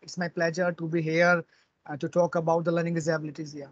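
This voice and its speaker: A man's voice: a little high, level tone, no room sound. Indian man on a muffled call. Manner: halting, repeats himself. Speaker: guest lecturer. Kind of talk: answering questions in a webinar. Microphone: earphone mic on video call. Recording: dull lo-fi online call.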